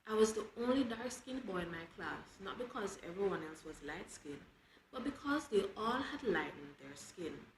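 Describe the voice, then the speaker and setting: A woman reading children's books aloud in an expressive storytelling style. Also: mid-range voice, normal speed. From Jamaica. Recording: roomy echo with clear background noise.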